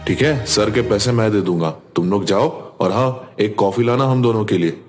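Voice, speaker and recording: A man voicing a calm, reassuring young village cook in a cartoon, polite and assured. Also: fairly low pitch, moderate pace, lightly acted. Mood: friendly. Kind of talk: Hindi cartoon story dialogue. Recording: background music under voice.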